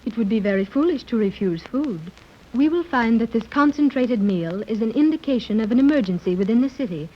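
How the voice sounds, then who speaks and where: A stiff, formal submarine commander. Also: female voice, fairly high pitch, lively intonation, a little fast. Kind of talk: 1930s radio serial acting. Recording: muffled old radio recording.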